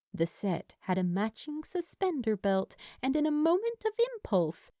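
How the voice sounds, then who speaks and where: A woman reading a story aloud as a narrator, in a measured, expressive storytelling manner. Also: fairly high pitch, no echo, clean studio sound. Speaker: fan fiction narrator.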